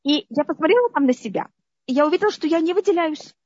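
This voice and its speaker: A high-pitched woman from the Soviet Union talks through her memories, often checking that her listeners follow. The sound is dry and dull, as if taken from a video call. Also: fast, no echo. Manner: lively, keeps asking the listener "you understand?". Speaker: interview guest. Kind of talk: conversational storytelling.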